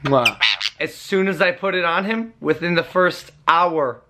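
An American man in his early twenties chatting casually in slangy, animated vlog style with a strained, hoarse voice, filmed at arm's length indoors. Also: high-pitched, measured pace. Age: early 20s. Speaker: vlogger. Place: apartment rooms, indoors. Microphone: camera at arm's length.

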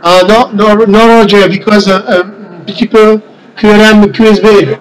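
Man, French accent, high voice, amateur radio operator, halting delivery, repeats phrases. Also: little pitch movement, rough recording. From France. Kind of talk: radio contact exchange.

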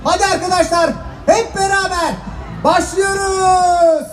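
A man's voice: very high voice, steady tone, a little slow, shouting, a crowd in the background. A man playing a cheeky, clever young trickster boy, projecting playfully to children in a fairy-tale stage show. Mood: playful, instructing. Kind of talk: children's stage musical acting. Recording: echoey hall stage sound, music, audience.

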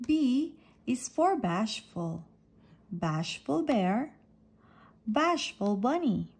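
A woman reading an alphabet picture book aloud for children, deliberately setting apart each letter and phrase. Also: fairly high pitch, very animated, darkish tone, husky.

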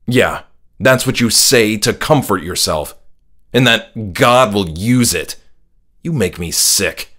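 A man's voice: lowish voice, animated, slow pace, a bit bright, full character voice. A man voicing a bitter, angry son confronting his father in a biting, accusatory manner. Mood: furious, accusing.

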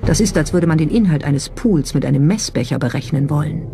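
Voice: mid-range voice, expressive, fairly quick, vocal fry. A narrator reading a scripted documentary. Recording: music under the voice. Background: background music.

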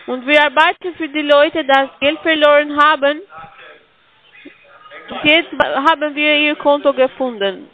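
Woman, high voice, halting scripted phone pitch, muffled lo-fi audio. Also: steady tone. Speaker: scam caller.

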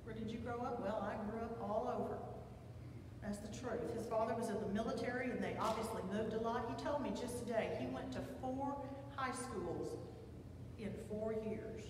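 An older woman pastor with a Southern American accent, reading scripture and preaching in a measured, reflective way. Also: fairly high pitch, recorded from a distance, noisy background. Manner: measured, reflective delivery with slow emphatic pauses. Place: large church sanctuary with pipe organ, at a pulpit. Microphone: far camera, pulpit microphone. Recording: echoey church hall, heard from afar.

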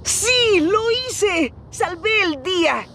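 Joven locomotora entusiasta, voz aguda. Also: female voice, very high voice, very animated, drawn-out words, fairly bright tone, a little hiss or hum, full character voice. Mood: excited, proud. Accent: Latin American Spanish. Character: eager, cheerful young male steam locomotive. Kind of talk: dubbed children's cartoon acting and narration.

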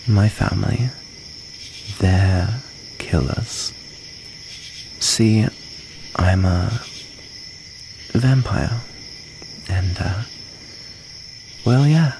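Casting: male actor as gentle outcast young vampire for ASMR roleplay, deep voice, slow halting delivery, shy and wounded. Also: gravelly. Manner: hesitant, halting, soft-hearted delivery. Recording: noisy, cricket ambience behind.

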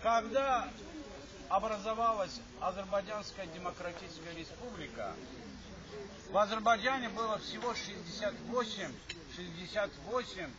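An older man from Azerbaijan giving a rambling, repetitive speech at an alumni reunion in an assembly hall. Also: high-pitched. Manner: rambling, repeats himself, stops to restart. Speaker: alumni speaker. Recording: dull lo-fi recording with chatter from the audience.

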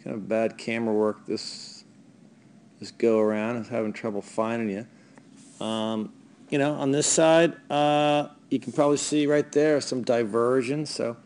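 Relaxed, hesitant man coaching skiing. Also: medium pitch, a little slow, clear, resonant tone. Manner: relaxed, hesitant, many fillers. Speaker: ski racing coach. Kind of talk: video analysis voice-over.

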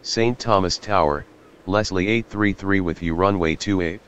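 A man voicing a businesslike airline pilot in a game, giving flat, clipped procedural readbacks to the tower. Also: sits low, moderate pace, lo-fi sound. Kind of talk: clipped aviation radio readbacks. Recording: aircraft noise behind.

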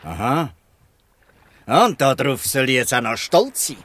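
A man's voice: mid-range voice, very animated, a little slow, a bit bright, a little hiss or hum. A man with a Swiss German accent playing a proud, protective old free fisherman in a radio play. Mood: proud, defiant. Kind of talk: dialect radio play acting.

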